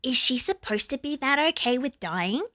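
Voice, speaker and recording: A woman voicing an excitable, bloodthirsty teenage villain girl in a story, bubbly and blunt. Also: high-pitched, normal speed, no echo, clean studio sound, pitched above the performer's own voice. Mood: puzzled whisper. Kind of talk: dramatic fan fiction reading.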